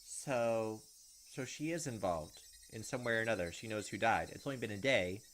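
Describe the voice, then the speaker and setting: A man's voice: on the low side, expressive, normal speed, fairly bright tone, a little hiss or hum. A man in his thirties reading visual novel narration aloud and commenting as he plays. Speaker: let's-player. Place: indoor room at a computer, on webcam. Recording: game music behind. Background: game music, insect chirps.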